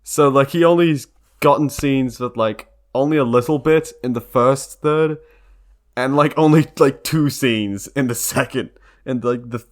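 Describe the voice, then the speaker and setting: A man's voice: mid-range voice, a little slow. A young man giving casual, rambling behind-the-scenes commentary for a video, prone to giggling. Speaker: video creator and fan dub member.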